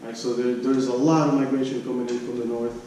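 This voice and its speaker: A very reverberant, distant recording of a man presenting research at a conference. His voice is soft-edged and mellow. He talks haltingly, often doubling back to restart a phrase, with faint noise around him. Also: mid-range voice, flat delivery. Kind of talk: academic conference talk. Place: conference room. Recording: echoey room, distant mic, background noise.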